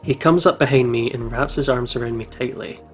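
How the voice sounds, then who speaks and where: Man, mid-pitched, visual novel let's play narrator, expressive, playful, faint music behind. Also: a little fast. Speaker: gaming YouTuber reading a visual novel.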